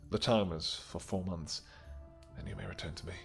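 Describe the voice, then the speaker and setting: A man's voice: sits low, steady tone, normal speed, vocal fry, over music. A man playing a tender, possessive vampire king with formal, courtly phrasing in a romantic fantasy audio roleplay. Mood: resigned, displeased.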